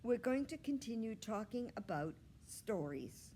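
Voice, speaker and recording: A woman's voice: fairly high pitch, sing-song, a little slow, warm tone, voice pushed up. A woman reading aloud as a patient, pleasant female primary school teacher, putting questions to a class in an instructive way. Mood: bright, explaining.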